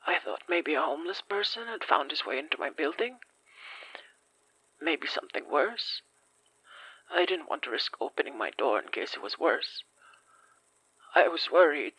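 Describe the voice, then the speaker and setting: Hoarse, shaken fire survivor. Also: female voice, deep voice, very animated, a bit bright, dry recording, full character voice, poor audio. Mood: uneasy recollection. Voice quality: hoarse, breathy. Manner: halting, apologetic, reluctant. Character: shaken, hesitant fire survivor recounting something strange. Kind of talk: audio horror drama acting.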